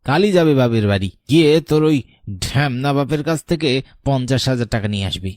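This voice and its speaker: A cruel, greedy husband, acted by a man in a cartoon melodrama. He bullies with open contempt and threat, at an average male pitch and with a dry sound. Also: expressive, fast talker, darkish tone, no room sound, lightly acted. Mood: bullying angry. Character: cruel, greedy, abusive husband demanding money.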